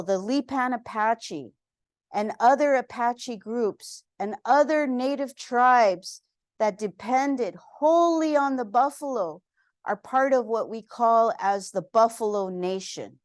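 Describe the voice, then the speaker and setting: A woman in her fifties gives a museum lecture in a slow, unhurried way, with earnest and heartfelt words. Her voice is smooth and clear, a touch higher than most, and it sits dry and close with no room echo. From San Antonio, Texas. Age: 50s. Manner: earnest, heartfelt, with frequent pauses and fillers.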